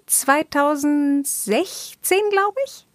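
A woman's voice: high voice, drawn-out words, dry recording, studio quality. A woman hosting a conversational interview, speaking informally to her guest. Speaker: podcast interviewer.

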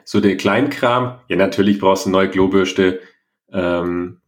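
A man with a lowish voice talks as a podcast co-host. His delivery is casual and chatty, with a playful streak running through the banter. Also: a little slow.

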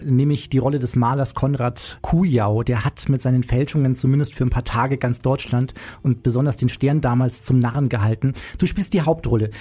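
A man hosting a radio show, talking in a brisk, jokey way. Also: mid-range voice, dark tone, breathy edge. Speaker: radio host. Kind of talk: playful radio chat.

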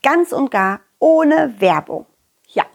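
A woman's voice: high voice, sing-song, takes it slowly, creaky, exaggerated voice. A woman voicing a confident, loyal, cheerful dog who talks affectionately and encouragingly to children in an audio story. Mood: chatty, encouraging. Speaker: puppeteer hosting a children's audio story podcast.

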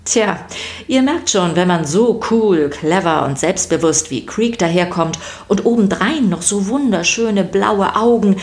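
A woman narrating a scripted children's story podcast, addressing her listeners playfully and directly. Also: fairly low pitch.

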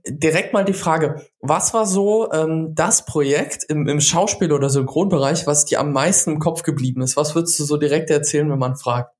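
A podcast host chatting casually with a guest, enthusiastic and quick to affirm what is said. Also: mid-range voice, darkish tone. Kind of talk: casual interview chat.

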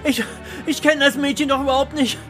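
A man's voice: very high voice, level tone, fairly quick, pushed and bright, faint music behind, pitched above the performer's own voice. A man playing a timid, boring accountant in an audio drama, stammering, pleading and crying out in helpless panic. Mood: desperate, crying.

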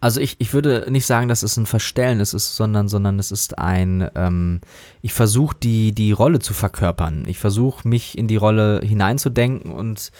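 German voice actor, dry close sound. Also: male voice, normal speed, darkish tone, no echo. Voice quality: relatively high voice. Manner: thoughtful, hesitant, many fillers and restarts. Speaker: voice actor guest. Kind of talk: casual interview talk.

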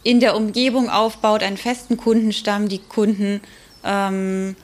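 A woman giving informal expert answers in an interview, speaking thoughtfully and with frequent hesitant pauses. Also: mid-range voice, little pitch movement.

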